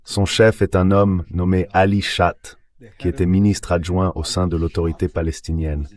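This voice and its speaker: A man speaking as an expert guest in a measured, explanatory way, in a translated synthetic voice-over. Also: low voice, level tone, darkish tone. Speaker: expert guest, international relations professor. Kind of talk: translated voice-over of political analysis.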